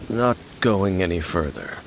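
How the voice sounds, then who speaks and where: An aloof, low-voiced, mysterious swordsman. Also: lively intonation, background noise. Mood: cold, guarded. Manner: measured, grave, formal. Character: aloof, mysterious male swordsman, world-weary and guarded. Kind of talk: video game voice acting.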